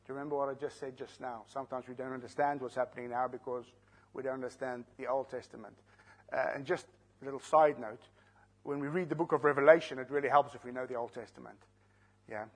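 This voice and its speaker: A man, an Afrikaans speaker, teaching a sermon verse by verse, slowing down to stress key words and putting questions to the congregation. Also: medium pitch, husky. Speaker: preacher. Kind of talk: expository Bible teaching.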